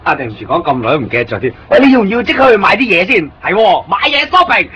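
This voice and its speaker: An actor playing a talkative, excitable young Hong Kong man living in Tokyo who keeps joking and offering to guide a visitor, speaking with a Hong Kong Cantonese accent in a 1990s radio play. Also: high-pitched, rapid-fire, breathy edge, full character voice. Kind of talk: 1990s radio drama acting. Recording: dull lo-fi 1990s radio recording.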